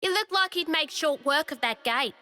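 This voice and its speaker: A woman's voice: high-pitched, lively intonation, normal speed, pushed and bright, no room sound, clean studio sound. An actress playing a brash, sarcastic young woman rebel leader in a video game, with a blunt, cocky way of talking. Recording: game music behind the voice.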